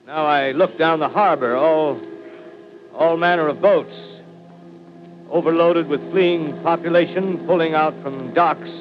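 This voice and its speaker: A man's voice: fairly high pitch, background noise, natural voice. An actor playing a panicked male radio announcer in a 1930s radio drama, reporting in urgent, breathless bursts of dread. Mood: grim. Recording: muffled 1930s radio recording with music.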